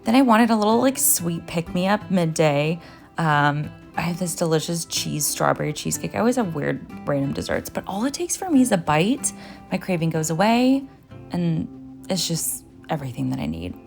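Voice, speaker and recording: A woman in her thirties, originally from Finland, talks her viewers through a day of meals in a loose, friendly voice-over. Her voice sits low for a woman and comes with soft music underneath. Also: sing-song, normal speed, vocal fry. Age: 30s. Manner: casual, chatty, upbeat, addresses viewers as guys. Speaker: nutritionist and vlogger. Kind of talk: what-I-eat-in-a-day vlog narration.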